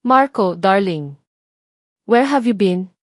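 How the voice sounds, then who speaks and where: A woman's voice: medium pitch, expressive, takes it slowly, dry recording. A glamorous, bold woman, played by a female narrator with a demanding, possessive manner. She is a romance audio story sweetheart, and the sound is dry.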